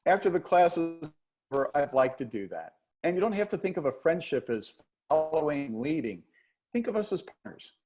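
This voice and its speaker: A man's voice: fairly high pitch, very animated, moderate pace, a little mellow, faint music behind. A man reading a play as a charming, patient, flirtatious dance teacher who teases and encourages his pupil. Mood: teasing, reassuring. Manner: teasing, encouraging. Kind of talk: play reading, romantic comedy.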